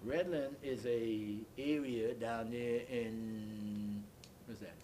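An elderly man recalls his life in an interview, speaking as a deliberate, halting storyteller who repeats and explains. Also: medium pitch, fairly even intonation, background noise. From Bahamas. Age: 80s or older. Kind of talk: oral history recollection.

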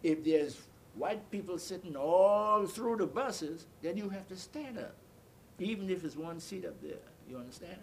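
An elderly man recalls his life in an interview, speaking as a deliberate, halting storyteller who repeats and explains. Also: sits high, lively intonation, vocal fry, a little hiss or hum. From Bahamas. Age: 80s or older. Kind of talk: oral history recollection.

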